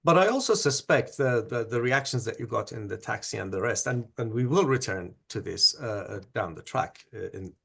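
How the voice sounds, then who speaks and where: Gravelly-voiced male interviewer. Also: mid-range voice, expressive, pushed and bright. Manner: measured, hedging questions. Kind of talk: academic interview.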